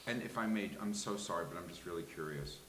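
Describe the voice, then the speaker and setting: Male panelist, lowish voice, halting and inquisitive, casual talk in a roomy, hissy recording. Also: fairly bright tone. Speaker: panelist questioning the readers. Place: live venue with a small studio audience. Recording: echoey room with hiss.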